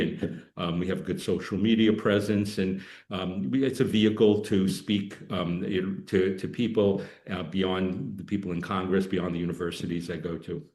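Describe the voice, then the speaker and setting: Low-voiced man in an echoey room, halting. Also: darkish tone. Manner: halting, rambling, anecdotal. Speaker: podcast guest, political analyst. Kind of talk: casual interview talk. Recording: echoey, roomy sound.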